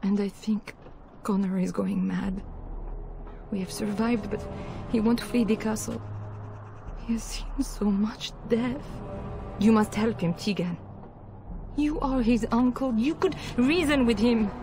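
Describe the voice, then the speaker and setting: An actress playing an anxious noblewoman and mother in a fantasy game, speaking in a halting, formal, imploring way. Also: on the high side, steady tone. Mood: fearful, pleading. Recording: music behind the voice.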